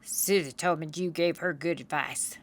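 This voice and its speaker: A woman's voice: low-pitched, normal speed. A woman voicing a troubled male beaver in a storybook, emphatic and complaining.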